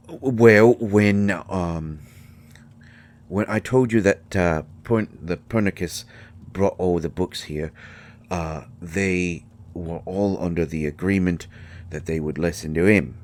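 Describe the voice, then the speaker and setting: A man playing a shy, kindly mushroom fairy in a roleplay audio drama, speaking haltingly with folksy, ungrammatical phrasing. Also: sits low. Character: shy, kindly male mushroom fairy living in magic library. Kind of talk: scripted roleplay audio drama addressed to the listener.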